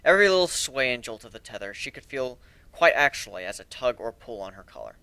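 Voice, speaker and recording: A man reading a story aloud as a dramatic narrator, in a measured, deliberate way with expressive emphasis. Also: mid-range voice, clear, resonant tone, no room sound.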